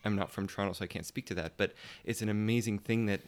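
A man with a fairly low voice talks in a casual panel discussion. He is careful and diplomatic, often hesitating and rewording as he searches for the right phrase. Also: steady tone, quick pace. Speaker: theatre guest.

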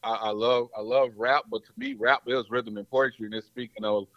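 Loud slangy rapper, muffled sound. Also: male voice, lowish voice, fairly even intonation, brisk, no echo. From Mississippi and New Orleans, US. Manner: loud, slangy, laid-back. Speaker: rapper guest. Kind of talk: casual interview chat. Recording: dull lo-fi dry sound.

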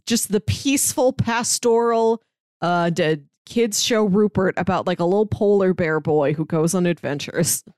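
A woman's voice: lowish voice, normal speed, a little mellow, dry recording. Woman podcast co-host, dry close sound. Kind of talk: casual podcast chat.

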